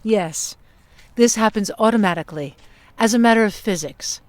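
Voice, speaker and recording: A woman acting as a prim emissary from the future in an audio play. She speaks at a middle pitch with formal, exact wording, staying composed and persuasive as she lays out terms. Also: normal speed, no echo, lightly acted. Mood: precise, instructive. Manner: formal, precise, businesslike, persuasive.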